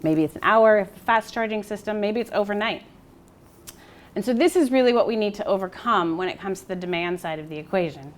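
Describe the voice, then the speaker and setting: A woman's voice: mid-range voice, fairly quick. American woman giving a seminar talk. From United States. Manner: explanatory, with frequent fillers and self-corrections. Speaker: seminar speaker, scientist. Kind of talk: academic presentation.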